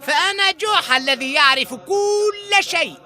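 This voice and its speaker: A man's voice: very high-pitched, lively intonation, rapid-fire, crowd noise. A man voicing a cocky, boastful know-it-all bungler in a cartoon, with emphatic delivery. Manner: boastful, emphatic, self-assured. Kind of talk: cartoon voice acting.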